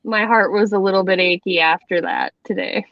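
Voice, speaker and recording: A woman's voice: mid-range voice, a little fast, no room sound, highs cut off. A woman ribbing her friends playfully in a casual chat. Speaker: streamer.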